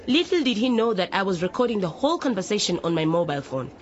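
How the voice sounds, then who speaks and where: A woman's voice: mid-range voice, very animated, fast talker, lo-fi sound, lightly acted. An actress playing an earnest, principled young female councillor giving formal testimony in a radio drama. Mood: earnest, firm.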